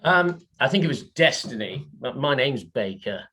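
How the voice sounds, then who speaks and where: A man, a panel guest who talks in a halting way with many restarts, over a video call. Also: medium pitch, sing-song, pushed and bright, slightly rough. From England.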